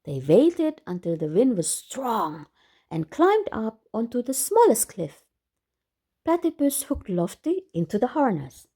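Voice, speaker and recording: A woman with a high, very animated voice reads a picture book aloud for children. She is expressive, often exclaiming and drawing listeners in with questions as she comments on the story. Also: unhurried, slightly rough.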